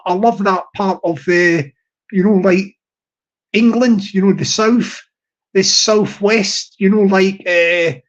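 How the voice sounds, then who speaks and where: A man's voice: extremely high pitch, lo-fi sound. Rambling, chuckling male live streamer. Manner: rambling, repeats words and restarts often, chuckles between phrases; hearty, upbeat. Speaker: live streamer greeting chat viewers.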